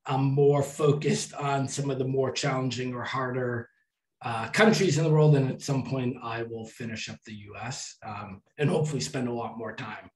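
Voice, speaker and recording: A man's voice: mid-range voice, moderate pace. Roomy-sounding man on a webcam call. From United States. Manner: relaxed, matter-of-fact explaining with lists. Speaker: guest, travel podcaster. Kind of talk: casual interview answers on a video call. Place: small room at home. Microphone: webcam on a video call. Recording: roomy, distant webcam audio.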